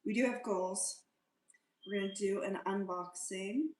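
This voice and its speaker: A woman hosts a casual livestream and greets her viewers one by one. She talks at an easy, slow pace and slips into little sing-song hums between remarks. The room around her sounds noticeably echoey. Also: medium pitch, a little hiss or hum. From South Africa. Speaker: livestream host.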